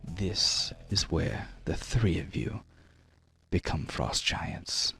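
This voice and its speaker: A man's voice: low-pitched, lively intonation, slow, vocal fry, a bit bright, soft background music, exaggerated voice. A man voicing a stern, zealous giantess aunt who preaches solemnly and commandingly in a tabletop game. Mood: solemn, menacing.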